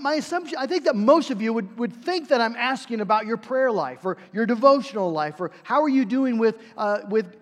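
A man preaching a sermon in a conversational way, with frequent fillers and restarts. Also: high-pitched. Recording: reverberant hall.

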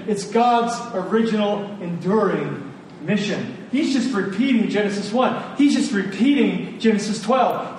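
A man preaching a sermon, emphatic and informal, repeating phrases for stress. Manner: informal, colloquial asides to listeners. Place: large room, speaking to a congregation. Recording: echoey room, distant mic, faint rumbling noise.

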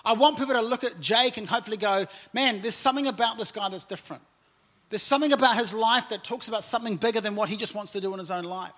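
Nieuw-Zeelandse dominee, wat hoge stem. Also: male voice, high-pitched, fast. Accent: New Zealand. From New Zealand. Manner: warm, conversational preaching with frequent "and, uh" fillers. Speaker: pastor. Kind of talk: sermon. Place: church auditorium.

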